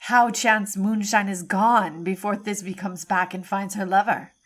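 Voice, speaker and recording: A woman's voice: mid-range voice, fairly even intonation, measured pace, lightly acted. A woman playing a haughty noblewoman passing comment in a Shakespearean audio play. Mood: wry disdain.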